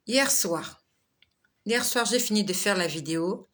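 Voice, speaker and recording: Bright-voiced woman in her forties. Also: medium pitch, animated, a little slow. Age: 40s. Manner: exhorting, laughs often, chatty. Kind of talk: casual live devotional talk reading viewer comments.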